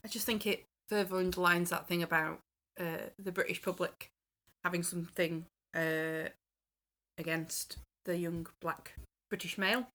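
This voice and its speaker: A woman chatting casually as a podcast panellist, given to deadpan understatement. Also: lowish voice, a little slow. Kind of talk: casual chat.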